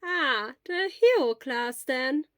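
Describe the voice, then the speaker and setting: A woman's voice: sits high, animated, takes it slowly, no room sound, studio quality, pitched above the performer's own voice. A woman voicing a composed elderly lady nurse in a story, reassuring and grandmotherly in manner. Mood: inquiring.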